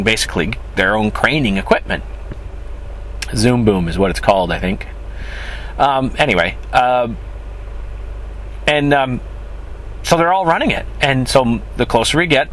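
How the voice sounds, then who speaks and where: A man's voice: medium pitch, fairly bright tone, low-quality recording. A man with a stuffy, sniffly voice chatting casually to his viewers in a rambling, self-correcting way. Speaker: film crew member. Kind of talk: casual vlog monologue. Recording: traffic noise outdoors.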